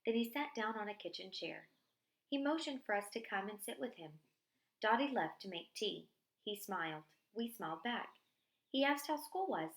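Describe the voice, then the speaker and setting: A woman reading a diary-style story aloud in an expressive narrating style, acting out the dialogue. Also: mid-range voice, moderate pace. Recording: echoey room.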